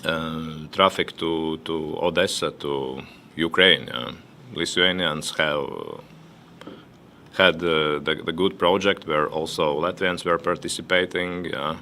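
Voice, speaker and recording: A very low, gravelly male voice with a bright edge, talking slowly. He speaks as a panelist, halting and repeating words as he goes, over a faint hum. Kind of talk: panel discussion remarks. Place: conference hall.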